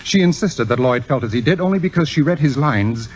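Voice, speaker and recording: An actor playing an urbane, smug theater critic with a pompous, droll, precise delivery in 1950s radio drama style. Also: male voice, medium pitch, lively intonation, brisk, lightly acted. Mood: smug, lofty. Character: urbane, waspish male theater critic, smugly needling.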